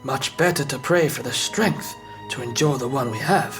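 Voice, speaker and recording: An actor playing a calm, principled police inspector in an audio drama, composed, terse and earnest. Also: male voice, mid-range voice, moderate pace, over music, natural voice. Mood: gentle, earnest.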